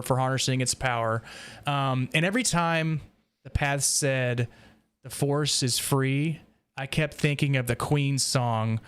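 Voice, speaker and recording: A man's voice: mid-range voice, fairly bright tone, clear, resonant tone. A man hosting a roundtable, talking casually through discussion points with fellow fans. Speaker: podcast host leading a roundtable.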